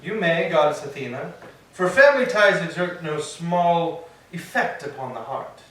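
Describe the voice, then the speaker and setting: A man's voice: a little high, expressive, moderate pace, a bit bright. A man playing a grand, commanding sea god, speaking formally in a staged reading of Greek tragedy. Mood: formal, questioning. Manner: formal, declamatory. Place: echoing church interior. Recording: very echoey hall, distant mic.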